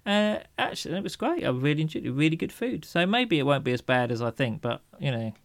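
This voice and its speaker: A man's voice: fairly high pitch, very animated, fast, no room sound. A middle-aged man with an English accent chatting casually as a podcast co-host, with a dryly humorous, mock-grumbling manner. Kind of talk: casual chat.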